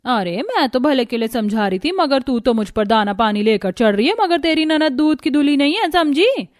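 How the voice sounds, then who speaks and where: A woman's voice: high voice, expressive, normal speed, dry recording, clean studio sound. A woman voicing a nosy middle-aged neighbourhood auntie in a cartoon, taunting and drawling with folksy idioms. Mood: huffy.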